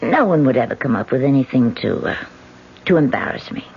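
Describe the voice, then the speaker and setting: A woman's voice: very deep voice, expressive, fairly quick, a little hiss or hum, heavily acted. An earnest candidate in her thirties. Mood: uneasy. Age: 30s. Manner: earnest, indignant, crisp diction. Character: principled woman congressional candidate, earnest. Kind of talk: 1970s radio drama acting. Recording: lo-fi 1970s radio broadcast.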